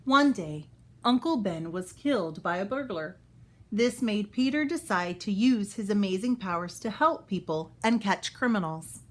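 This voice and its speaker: A woman reading a children's book aloud as a storyteller, expressive and pausing to explain things for young listeners. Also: mid-range voice.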